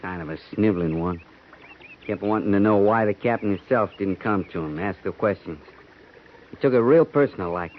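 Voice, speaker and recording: An actor playing a loyal, plain-spoken cavalry sergeant with a folksy way of talking. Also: male voice, fairly low pitch, a little fast, close to an everyday voice. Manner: respectful, folksy. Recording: muffled 1950s radio broadcast with hiss.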